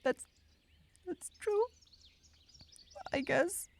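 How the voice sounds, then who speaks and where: A woman's voice: very high-pitched, animated, drawn-out words, vocal fry, slight background noise, pitched above the performer's own voice, full character voice. A woman voicing a sweet, clumsy forest witch in a fantasy ASMR roleplay, stammering and hesitant as she talks. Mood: flustered relief. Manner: stammering, hesitant, breaks off and repeats words.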